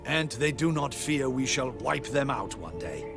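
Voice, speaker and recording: Proud snooty guard captain in a fantasy game, very up and down, old-timey formal wording, bit of music behind. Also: male voice, mid-range voice, sing-song, measured pace, vocal fry. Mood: skeptical. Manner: haughty, formal archaic phrasing, theatrical. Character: proud, scornful male captain of a ducal guard. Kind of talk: video game voice acting.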